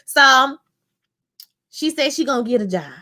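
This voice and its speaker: A woman's voice: sits high, measured pace. Chatty New Orleans woman reviewing a show. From Louisiana. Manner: chatty, animated, talks to chat as "y'all". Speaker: show reviewer live-streaming. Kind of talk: casual live-chat review.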